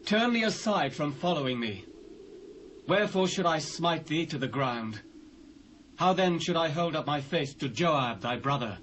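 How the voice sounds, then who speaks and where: A British man playing a proud, forceful biblical army commander, declaiming archaic lines with emphasis in a dramatised scripture reading. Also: a little high, animated, moderate pace. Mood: urgent warning. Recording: hissy old cassette transfer.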